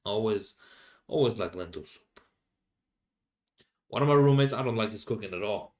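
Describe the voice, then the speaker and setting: A young man from Finland with a Finnish accent, speaking English as a second language, rambling casually as a solo podcast host and often pausing to search for words. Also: fairly low pitch, darkish tone. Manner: halting, many fillers and restarts, searching for English words.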